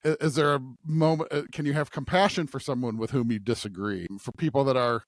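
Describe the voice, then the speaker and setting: A male podcast host with a medium-pitched voice talks through scripture in a conversational way. He works out his points as he goes and often checks in with a short questioning tag. The sound is dry, with little room around it. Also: a little fast, no echo. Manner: thinks aloud, often tags points with "right?".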